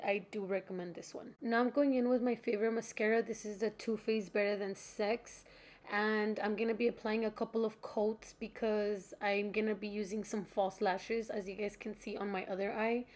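A woman's voice: mid-range voice, flat delivery, normal speed, darkish tone. Young woman, slightly congested, chatty tutorial narration. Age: young adult. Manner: chatty, informal, giggly, addresses viewers as friends. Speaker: makeup tutorial creator. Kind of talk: casual tutorial voice-over.

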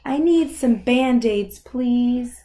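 Woman voicing a high-pitched little girl spider. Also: takes it slowly, dark tone. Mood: hurt. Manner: exclaiming, singsong, polite. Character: cheerful, polite little girl spider in a storybook.

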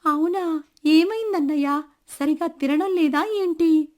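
A woman's voice: very high-pitched, a little slow, pitched above the performer's own voice. A woman voicing a polite, evasive young village housewife who speaks hesitantly in a storybook tale. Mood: concerned.

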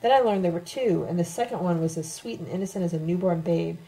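A woman voicing a drunk, bitter older woman in an audiobook, taunting and loudly accusing. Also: lowish voice, fast talker, close to an everyday voice, poor audio. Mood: hateful. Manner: shouting, sneering, accusatory.

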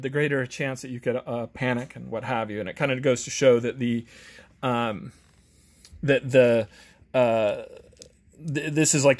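A man reviewing tabletop games in a casual, off-the-cuff way, halting and often interrupting himself as he rambles along. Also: medium pitch. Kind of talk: casual off-the-cuff product walkthrough. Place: home game garage, indoors. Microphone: handheld camera, held by the speaker.

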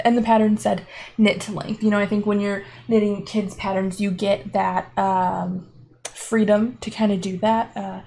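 A woman's voice: mid-range voice, gravelly, a little mellow, slight background noise. A woman in her twenties chatting casually as host of a knitting podcast, laughing often and filling gaps with ums, filmed from an armchair at home. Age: 20s. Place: living room armchair at home.

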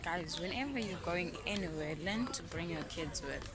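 A woman's voice: on the low side, expressive, moderate pace, over a noisy crowd. A young woman in her twenties from South Africa, chatting to viewers at an outdoor garden market, recorded on a phone held at arm's length. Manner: chatty, addresses viewers directly. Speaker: vlogger. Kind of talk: casual vlog narration.

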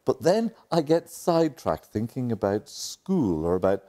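A man's voice: medium pitch, big pitch swings, unhurried, warm tone. An Irish man in his forties performing a dramatic book reading. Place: indoor lounge, seated.

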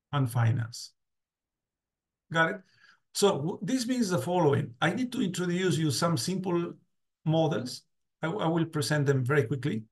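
A man lecturing a class on a video call, restarting words and often checking "got it?". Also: on the high side. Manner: many restarts, asks "got it?" and "do you agree?" often. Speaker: university lecturer.

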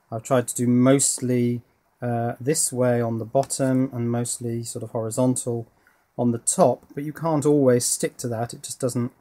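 Man, middle-aged, medium pitch, informal hobbyist explainer, thinking aloud. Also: mellow. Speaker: electronics hobbyist and presenter. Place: indoor workbench.

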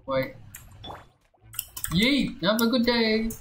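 A man's voice: very high-pitched, drawn-out words, a bit bright. A man streaming a video game with casual, playful commentary, muttering to himself and his chat in short exclamations. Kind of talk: casual live gameplay commentary. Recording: roomy echo with game music behind. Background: video game music.

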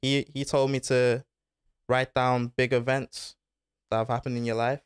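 Young man, smooth clear voice, dry close studio sound, relaxed podcast guest. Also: medium pitch, measured pace, no echo. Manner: laid-back slang-filled talk, says bro and innit. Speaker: guest footballer. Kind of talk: casual podcast interview. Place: small studio with foam panels. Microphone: desk mic on arm.

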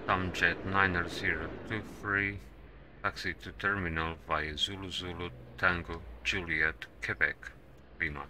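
Low-voiced man gaming as air traffic controller. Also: fairly even intonation, a little slow. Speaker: streamer playing an air traffic control simulator. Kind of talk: gaming stream commentary with controller radio phraseology.

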